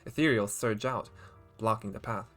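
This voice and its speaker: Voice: medium pitch, normal speed, a bit bright. A game streamer giving casual live playthrough commentary and reading some text aloud, with game music behind.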